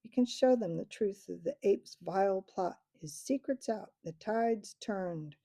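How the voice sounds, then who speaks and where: A woman's voice: medium pitch, a little slow, mellow, dry recording, clean studio sound. A woman reading aloud, voicing a stern young king with stately, old-fashioned speech. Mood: rousing.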